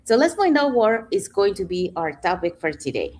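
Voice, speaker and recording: A woman's voice: medium pitch, very animated, moderate pace, a little mellow. A middle-aged woman from Panama hosting a live online panel talk, chatty, with many fillers like "you know". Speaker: host.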